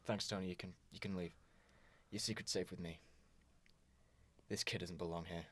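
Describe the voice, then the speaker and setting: A man's voice: low-pitched, fairly even intonation, moderate pace, a bit bright, dry recording. A man playing an earnest police inspector in an amateur radio drama, matter-of-fact and full of questions. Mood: businesslike. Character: earnest, businesslike male police inspector investigating murder.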